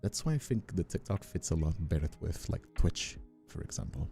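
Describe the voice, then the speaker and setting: A soft-spoken man chatting casually on a livestream as the host, talking in a hesitant, rambling, reassuring way close to the mic. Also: low voice, lively intonation, brisk, gravelly. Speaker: streamer and ASMR voice actor hosting a live stream. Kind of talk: casual livestream chat with ASMR calm. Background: soft instrumental music.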